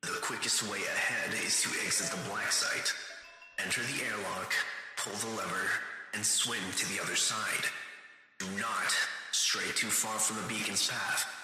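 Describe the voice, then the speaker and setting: A man voicing a calm, businesslike facility dispatcher who gives measured, formal instructions over a public address system in a video game. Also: lowish voice, soft background music. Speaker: voice actor. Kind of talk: scripted game announcements. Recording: heavily echoing PA announcement, long reverb.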